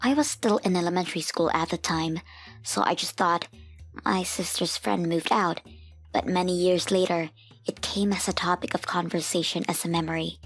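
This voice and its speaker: A woman's voice: lowish voice, fairly even intonation, normal speed, husky. A woman narrating a scripted first-person story as a grown woman soberly looking back on a family memory. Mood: sober, reflective. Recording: music under the voice.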